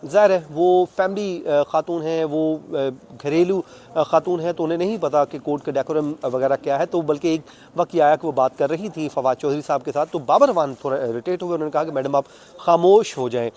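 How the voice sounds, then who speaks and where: Pakistani guy doing a court news report off the cuff, voice a bit on the high side, chatty step by step retelling. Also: a little fast, warm tone, slight background noise. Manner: conversational, detailed step-by-step recounting with English legal terms mixed in. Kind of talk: unscripted news account of a court hearing.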